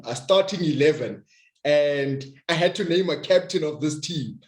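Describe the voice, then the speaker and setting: Enthusiastic man hosting a video call interview, fairly high voice, echoey room. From South Africa. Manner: effusive, enthusiastic, some stammered restarts. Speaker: host. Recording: roomy echo.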